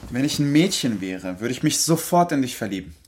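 An actor playing a bookish, gently joking young man in a radio play, speaking in a laconic, understated way. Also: mid-range voice, sing-song, a little fast, husky. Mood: warmly amused.

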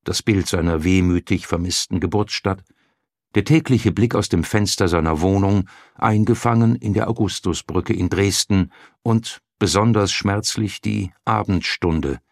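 A man narrating a literary audiobook in a sonorous, resonant voice, with measured phrasing, well-placed pauses and subtle modulation. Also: deep voice, creaky, dry recording, studio quality.

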